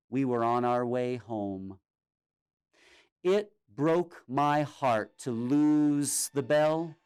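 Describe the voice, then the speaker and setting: A man reading a children's story aloud in a theatrical, expressive way with drawn-out pauses. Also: a little high, clean tone. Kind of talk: dramatic children's storytime narration. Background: music and sound effects.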